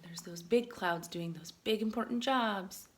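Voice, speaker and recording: A woman reading a picture book aloud to children as a teacher, expressively, often dropping into hushed asides. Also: mid-range voice, measured pace, very noisy.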